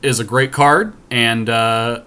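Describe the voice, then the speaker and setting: Male co-host, medium pitch, very bright, punchy tone. Casual, off-the-cuff podcast commentary. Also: fairly even intonation, slow, slight background noise.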